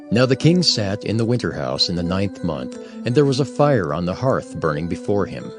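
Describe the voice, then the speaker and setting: Casting: man to narrate dramatized scripture, formal and expressive, medium pitch, clear music bed. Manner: formal, measured storytelling. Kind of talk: dramatized King James Bible narration.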